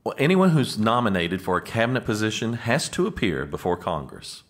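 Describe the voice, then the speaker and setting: A man plays an American political right-hand man in an audio comedy. His voice is low with lively swings in pitch. He is formal and officious, explaining things with exasperated patience. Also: brisk. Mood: patiently explaining. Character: exasperated, officious male presidential chief aide. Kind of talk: comedic audio drama acting.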